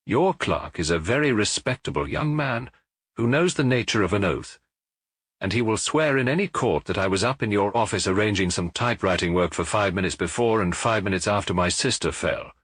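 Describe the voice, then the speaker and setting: Man as a coldly calm, spiteful woman. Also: fairly low pitch, moderate pace, slightly rough, no echo. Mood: ugly calm. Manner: steady, composed, menacing.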